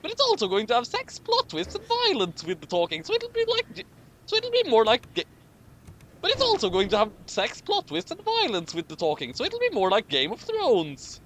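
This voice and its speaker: A man's voice: extremely high pitch, wildly swooping pitch, moderate pace, a bit bright, slight background noise. A man doing a comic read-aloud with commentary, often stumbling over his words and rereading lines. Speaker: commentary host reading fan fiction.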